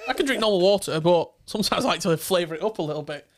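A man with a high, light voice co-hosts a casual football podcast. He sounds upbeat and keen, talking up his guest. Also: expressive, rapid-fire. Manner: upbeat, introduces guest with hype. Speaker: podcast co-host.

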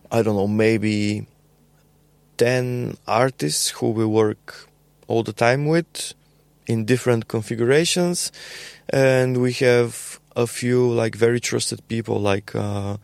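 A young adult man with a Bulgarian accent, a podcast guest talking casually in a halting way with many fillers and repeated words. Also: medium pitch, lively intonation, creaky, a little mellow, smooth, no room sound. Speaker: podcast guest, label co-founder. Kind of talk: casual conversational interview.

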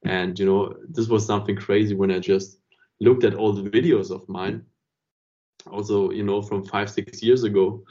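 Low, even-voiced man, reflective and rambling. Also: normal speed. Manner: rambling, reflective, often says "you know". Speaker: podcast host. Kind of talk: casual podcast conversation. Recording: dull lo-fi podcast audio.

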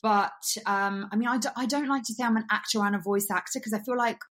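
A woman born in Bulgaria and raised in England, an actor answering interview questions thoughtfully, with many pauses and fillers. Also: fairly high pitch, steady tone, fairly bright tone. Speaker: actor, podcast guest.

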